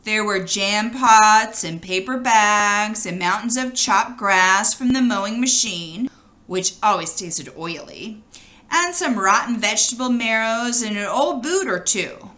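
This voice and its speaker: Animated, bright-voiced woman reading a storybook. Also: medium pitch, measured pace. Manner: animated, with brief asides to young listeners. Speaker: storybook reader. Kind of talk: expressive read-aloud of a children's storybook.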